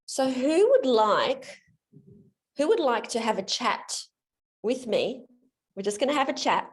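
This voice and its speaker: A woman's voice: a little high, lively intonation, measured pace. A woman coaching a guest through his limiting beliefs on a video call, in a friendly, explanatory way. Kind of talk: live coaching demonstration in an online session.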